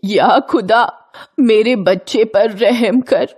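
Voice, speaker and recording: Poor old grandmother for an audio storybook, played by a woman: plaintive, careworn, devoted, very unhurried delivery. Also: on the high side, darkish tone, slightly rough. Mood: worried, lamenting. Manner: plaintive, lamenting. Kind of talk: dramatised children's story acting.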